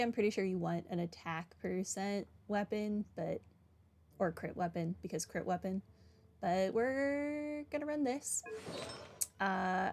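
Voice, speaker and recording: A woman streamer giving casual, rambling game commentary in a dry, wry manner. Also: mid-range voice. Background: game music.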